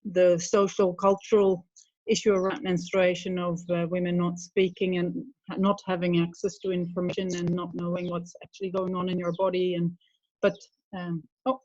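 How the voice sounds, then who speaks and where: Dutch-born woman, workshop panelist, halting and filler-heavy, dry dull call audio. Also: on the low side, little pitch movement, a little mellow, no room sound. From Netherlands. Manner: hesitant, many fillers. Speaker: social enterprise co-founder. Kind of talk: online workshop talk. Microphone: video call.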